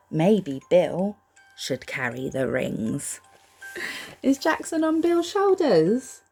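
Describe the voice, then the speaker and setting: A woman reads a picture book aloud expressively, gently reassuring young listeners and putting questions to them. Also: mid-range voice, breathy edge. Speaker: storybook reader for young children.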